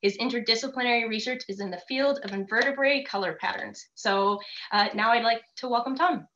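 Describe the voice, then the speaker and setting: A woman's voice: on the high side, a little fast, fairly bright tone. A woman hosting an online event, reading out a speaker introduction over a muffled, noisy video call. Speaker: event host.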